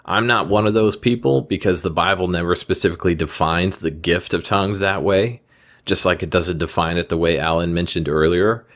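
A man's voice: low voice, steady tone, brisk, fairly bright tone. A man hosting a commentary video, speaking in a careful, methodical way and reading scripture aloud.

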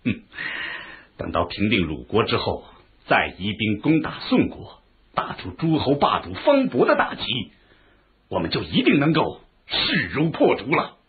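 A lordly duke of ancient China, very husky and full of ambition. His intonation swings widely. The recording is thin and phone-like, in radio drama style. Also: fairly low pitch, wildly swooping pitch, unhurried, full character voice. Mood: resolute, ambitious. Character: ambitious ancient Chinese duke, commanding yet consulting. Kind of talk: Chinese historical radio drama acting.

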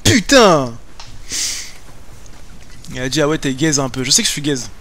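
A man's voice: on the high side, sing-song, moderate pace, a bit bright, a little hiss or hum. Slangy young man streaming a game. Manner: slangy, joking banter with chat. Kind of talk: casual gaming commentary. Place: home room, webcam.

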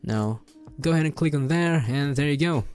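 A young man giving an informal, easygoing and enthusiastic software tutorial, speaking close into a desk microphone. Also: fairly high pitch, normal speed, faint music behind. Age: young adult. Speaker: tutorial host. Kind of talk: casual screen-recorded software tutorial. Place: home bedroom setup indoors. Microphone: close desk microphone on boom arm.

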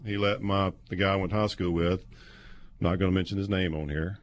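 A man, telling stories casually and haltingly as a guest on sports radio. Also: low-pitched, steady tone. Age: 50s. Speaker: guest, former college quarterback. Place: small padded radio studio. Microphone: close studio microphone with headset.

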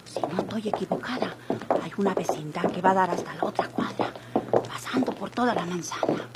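A woman's voice: medium pitch, fairly quick, lightly acted. Woman acting defiant mexican ranch woman, rustic muttering, super noisy old radio drama tape. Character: wary, defiant country woman under pursuit. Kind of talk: Mexican golden-age radio soap acting.

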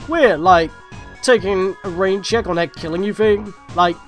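A woman's voice: medium pitch, a little slow, faint music behind, close to an everyday voice. A woman voicing a laid-back armored guard monster in a game, with slangy, casual talk. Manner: casual, says like often.

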